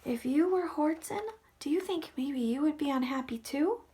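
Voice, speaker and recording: A woman, a first grade teacher, reading a storybook expressively to young children, with dramatic delivery and hushed asides. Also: sits high, moderate pace, a little hiss or hum. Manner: animated, dramatic, drops into hushed whispered asides.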